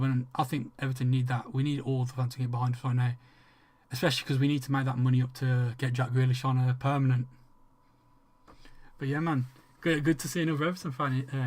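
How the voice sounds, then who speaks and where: An English-accented man streaming a game and talking straight to his viewers. He rambles along, trips over his own starts and drops swear words freely, at a middling pitch for a man. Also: steady tone, a little fast, darkish tone. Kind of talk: casual livestream chat with viewers.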